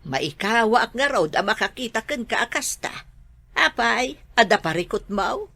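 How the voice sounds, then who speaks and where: A woman's voice: a little high, animated, normal speed, vocal fry, pushed and bright, no room sound, exaggerated voice. An actress playing a suspicious, scolding mother in a radio drama.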